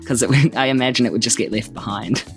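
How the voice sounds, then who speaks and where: A woman's voice: extremely low pitch, fast, over music. A woman talking casually and tentatively as a player in a tabletop game.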